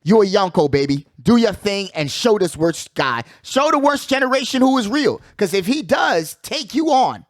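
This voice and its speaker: Man, higher-pitched voice, dry close sound, casual sports host, slangy, animated, repetitive emphasis. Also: normal speed, few pauses, no echo. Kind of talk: casual sports commentary and playoff predictions.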